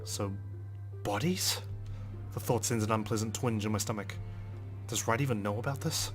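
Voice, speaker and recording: A man with a low voice reads a visual novel aloud for a let's play. His delivery is theatrical and expressive, like a narrator living the story, with faint music behind him. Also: normal speed. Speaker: let's player. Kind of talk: dramatic read-aloud of a visual novel with asides.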